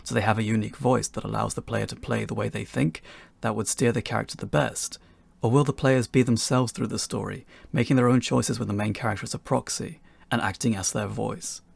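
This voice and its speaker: A man presents a scripted lesson for beginners. His voice is husky and full of creak, and it is captured very dry and close, with no room sound. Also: on the low side, fairly even intonation, a little fast, no room sound. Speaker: presenter of a beginners' tutorial talk. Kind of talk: scripted instructional narration.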